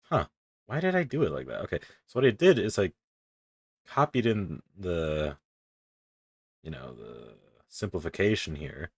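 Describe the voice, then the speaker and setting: A young man with a deep voice, casually rambling and swearing as he talks through his drawing on a stream. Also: big pitch swings, a little slow, pauses often, slightly rough, no echo, studio quality. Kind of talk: casual commentary while drawing.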